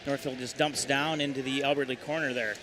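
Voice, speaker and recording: A man calling a hockey game play-by-play, rattling off each pass and shot at a brisk clip. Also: mid-range voice, slight background noise. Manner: brisk, rattling off puck movement, excited on goals. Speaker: play-by-play announcer.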